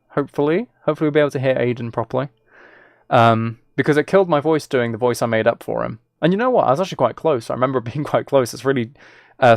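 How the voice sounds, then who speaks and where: A man's voice: medium pitch, gravelly, dry recording. A man chatting casually as he hosts a livestream, rambling and thinking aloud. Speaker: streamer.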